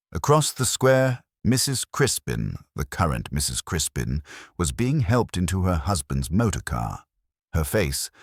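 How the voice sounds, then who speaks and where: A man tells a mystery tale in an extremely deep voice. His delivery is measured and dryly ironic, yet lively in its melody. The sound is close and dry. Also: no echo. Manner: measured, literary storytelling with dry irony. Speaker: audiobook narrator. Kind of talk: scripted narration of a mystery story.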